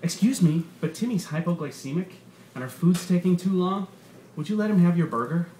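A man voicing a brash male stand-up comedian with thinning hair who swears, mocks and acts incredulous. The comedian talks louder and with more force than the narrator. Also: high-pitched, fairly quick, pitched above the performer's own voice. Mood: indignant. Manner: loud, animated, incredulous, swearing. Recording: roomy, faint background noise.

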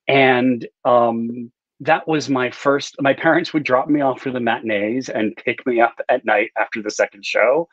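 A man's voice: medium pitch, dry recording. American man, slightly dull video-call sound. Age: middle-aged. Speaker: author being interviewed. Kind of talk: casual conversational interview. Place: book-lined room at home, on a video call.

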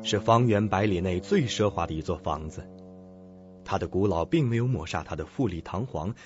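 Deep-voiced male suspense narrator. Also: a little mellow. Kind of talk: scripted suspense radio drama narration. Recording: dull lo-fi audio with faint music.

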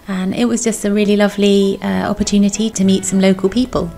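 A woman's voice: mid-range voice, little pitch movement, quick pace, mellow. A woman in her thirties giving a chatty voice-over for her vlog. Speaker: vlogger. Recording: background music. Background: music.

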